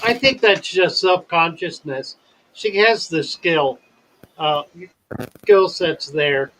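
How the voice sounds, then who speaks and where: An older man hosting a casual livestream chat, talking in a slow, wordy and deliberate way and telling stories. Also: on the high side, a bit bright, low-quality recording. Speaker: livestream host.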